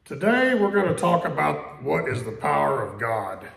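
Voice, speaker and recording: A pastor preaching a conversational sermon in a church, asking questions, repeating them and quoting scripture slowly. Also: male voice, on the high side, very animated, creaky, fairly bright tone. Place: church sanctuary. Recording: echoey church room.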